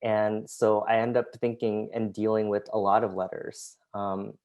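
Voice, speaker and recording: A man's voice: fairly low pitch, level tone, moderate pace, muffled recording. A man, a graphic designer and artist, presenting his work over a video call, with many hesitant fillers. His first language was Vietnamese. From United States. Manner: hesitant, many ums, soft-spoken. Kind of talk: presenting artwork in an online talk.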